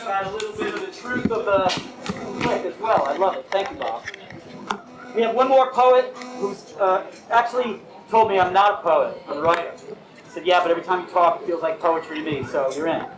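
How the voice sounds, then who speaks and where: A man's voice: high-pitched, fairly quick. A man hosting a live poetry night, giving casual, upbeat introductions. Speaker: event host. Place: bar venue, live audience. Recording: echoey, noisy live venue.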